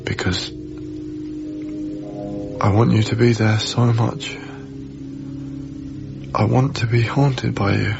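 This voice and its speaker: An actor playing a troubled, haunted young lodger in a radio drama, speaking in a hesitant, tender way over music in a slightly muffled broadcast. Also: male voice, on the low side, fairly even intonation, creaky. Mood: tender, yearning. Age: young adult. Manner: hesitant, brooding, halting. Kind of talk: radio drama acting, intimate monologue.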